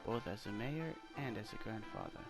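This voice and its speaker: A man reading out the game dialogue of a proud old village mayor, a doting grandfather who gives gentle instructions. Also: on the low side, lively intonation, moderate pace, vocal fry. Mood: proud, encouraging. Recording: loud game music behind the voice.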